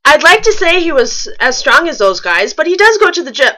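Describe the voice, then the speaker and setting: Bright, high-pitched woman, very lively reader. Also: brisk. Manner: wry, nitpicking. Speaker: fan fiction reader and commentator. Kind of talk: reading a story aloud with mocking asides.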